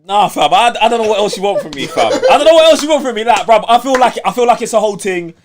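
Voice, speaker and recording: Male podcast host, London accent, high voice, very animated intonation, slangy, repetitive, casual fan chat. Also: quick pace, background noise. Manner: excitable, slangy, repeats himself for emphasis.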